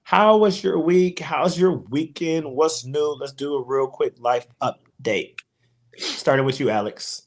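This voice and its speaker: Upbeat male podcast host. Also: a little high. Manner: upbeat, promotional, quick asides. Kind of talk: casual podcast hosting.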